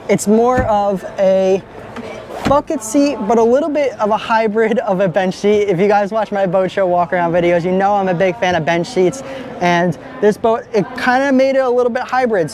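A young man filming a walkaround boat review at arm's length in a large indoor boat show hall, talking with steady enthusiasm. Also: sits high, a little hiss or hum. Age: young adult. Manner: enthusiastic, upbeat. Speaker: boat vlogger. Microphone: handheld camera at arm's length.

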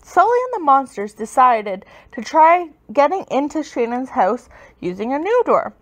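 Lady doing a kids storybook read aloud, highish voice, really lively and dramatic. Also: moderate pace. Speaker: storybook reader.

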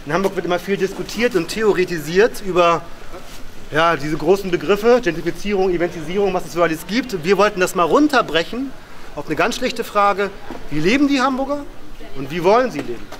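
A man's voice: sits high, lively intonation, fairly quick, fairly bright tone, a little hiss or hum. A man presenting a theatre project in a spoken introduction.

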